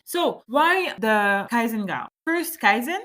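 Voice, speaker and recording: A woman's voice: a little high, big pitch swings, slow, pushed and bright. A woman from Gabon, a native French speaker with a French accent, talking informally as the solo host of a podcast. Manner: talkative, informal. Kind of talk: solo podcast introduction, conversational monologue.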